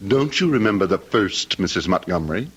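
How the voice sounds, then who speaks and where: A sly, swooping, deep Southern villain. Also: male voice, sing-song, a little fast, a bit bright, breathy edge, muffled recording, heavily acted. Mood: mockingly polite. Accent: American Southern. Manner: taunting, drawling mock courtesy. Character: sly, cruel Southern gentleman villain, mockingly polite. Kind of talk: radio drama acting.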